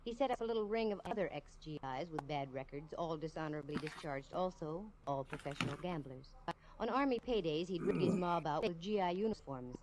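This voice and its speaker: A woman's voice: deep voice, lively intonation, fairly quick. A woman playing a wisecracking private detective, narrating in breezy, slangy 1950s radio-drama style. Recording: noisy old radio recording.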